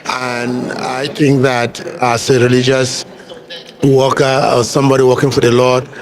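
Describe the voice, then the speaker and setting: A man's voice: mid-range voice, flat delivery, measured pace. A man, a pastor, answering an interview question earnestly, with frequent "uh" fillers.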